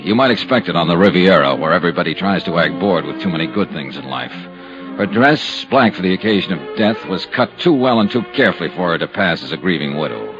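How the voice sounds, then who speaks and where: An actor playing a dry, wry insurance investigator who narrates and questions people in a 1950s radio drama. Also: male voice, low voice, animated, fairly quick, over music. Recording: dull lo-fi old radio transcription.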